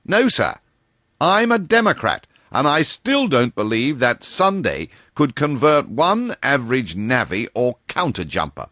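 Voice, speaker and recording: A man voicing a jovial, boisterous young male doctor, loud and exclamatory. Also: medium pitch, sing-song, measured pace, no echo, studio quality, voice pushed up. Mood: emphatic.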